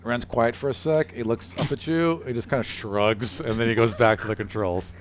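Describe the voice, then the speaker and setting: A man's voice: medium pitch, steady tone, normal speed, a little hiss or hum. A man acting as game master, narrating a tabletop game and chatting casually with the players.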